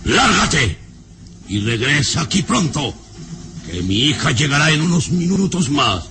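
A man's voice: a little high, very animated, measured pace, noisy background. A man playing an imperious, scornful baron and father in a theatrical, haughty, commanding radio serial style. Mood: angry, domineering. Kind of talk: old radio serial acting.

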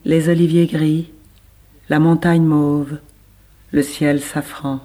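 A man reading literary prose as scripted narration, with measured, deliberate phrasing. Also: a little high, level tone, mellow. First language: French. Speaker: reader of literary text.

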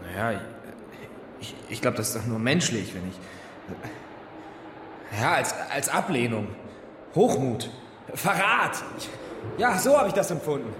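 An actor playing an earnest, wounded young village mechanic in a radio drama, halting and colloquial. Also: male voice, mid-range voice, sing-song, background noise. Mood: hurt, halting. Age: young adult. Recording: echoey, reverberant room.

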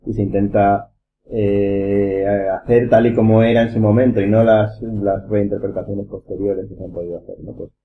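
Male podcast host, Castilian accent, low register, rambling and informal, on a muffled lo-fi recording. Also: level tone, moderate pace. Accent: Castilian Spanish. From Spain. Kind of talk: casual round-table chat. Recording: dull lo-fi sound, background noise.

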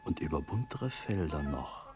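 A man's voice: rumbling low, big pitch swings, moderate pace, music in the background. A man playing an imprisoned prince brooding darkly on death in a classical verse radio drama, reflective and declamatory. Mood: brooding, resigned.

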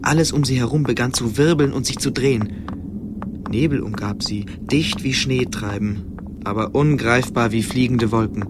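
An actor as the calm narrator of a fantasy radio play, reading in an atmospheric storybook style. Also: male voice, medium pitch, normal speed, close to an everyday voice. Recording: clear ambient noise behind narration.

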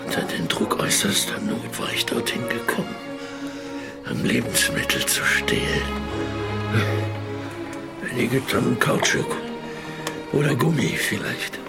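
An actor playing a ruthless, cynical adventurer who recounts his schemes slowly and deliberately in a radio play. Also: mid-range voice, big pitch swings, gravelly. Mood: brooding, scheming. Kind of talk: dramatic first-person radio play monologue. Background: loud music.

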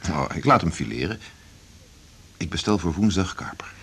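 A man's voice: low voice, animated, normal speed, breathy edge, exaggerated voice. A man acting in a 1980s Dutch radio drama as a brusque, authoritative doctor and mentor, curt and domineering, with a Netherlands Dutch accent. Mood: curt, coaxing.

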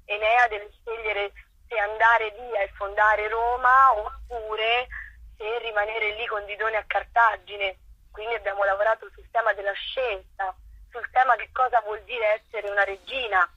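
A woman's voice: medium pitch, level tone, a little slow, breathy edge, dry recording. A woman giving earnest, articulate and detailed explanations as a theatre director in an interview, in muffled phone-in sound.

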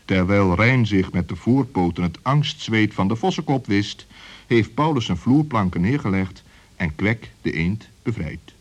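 A man narrating a scripted children's story as storyteller, in a vivid, expressive and dramatic way. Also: fairly low pitch, a little slow.

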